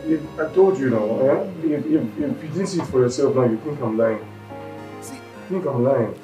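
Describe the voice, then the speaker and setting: A woman's voice: a bass voice, normal speed, voice pushed down. A woman voicing a meek, apologetic young man who is looking for a job. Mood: soothing. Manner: pleading, apologetic. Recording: roomy echo with music.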